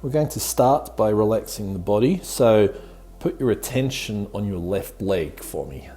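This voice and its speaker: A man leading a guided meditation in a soothing, gently encouraging way, giving calm instructions as the host. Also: fairly low pitch, a little slow. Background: background music.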